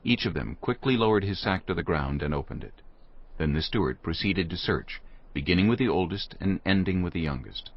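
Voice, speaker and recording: A man's voice: low voice, lively intonation, a little fast. A man narrating scripture from a script as storyteller in a dramatized audio production. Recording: slightly dull studio recording.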